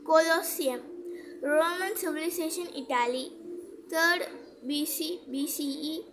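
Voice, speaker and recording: Tamil-accented woman, high voice, halting read-aloud of a school album, noisy phone recording. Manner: slow careful reading with stumbles and restarts on hard words. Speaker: channel host presenting a school project album. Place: indoors at a table, camera filming the album from above.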